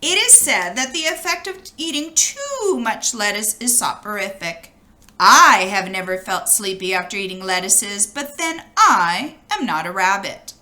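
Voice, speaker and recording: Animated, bright-voiced woman reading a storybook. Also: mid-range voice, unhurried. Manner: animated, with brief asides to young listeners. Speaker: storybook reader. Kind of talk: expressive read-aloud of a children's storybook.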